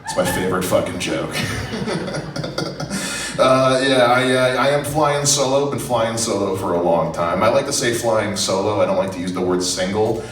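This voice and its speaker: A man's voice: fairly low pitch, animated, normal speed. A man of thirty doing a self-deprecating stand-up set on a comedy club stage, rambling with fillers and restarts. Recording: roomy, distant, faint noise.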